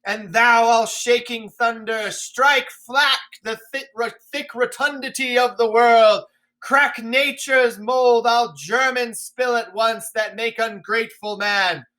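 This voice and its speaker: A man voicing a raging old king who shouts defiance at a storm in slow, drawn-out declamation. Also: very high voice, steady tone, voice pushed up, heavily acted.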